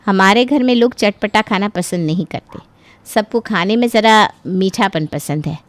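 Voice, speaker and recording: A woman voicing a gentle middle-aged mother-in-law in a cartoon, measured and motherly as she instructs. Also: mid-range voice, no echo, natural voice. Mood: kindly instructing. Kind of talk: cartoon voice acting.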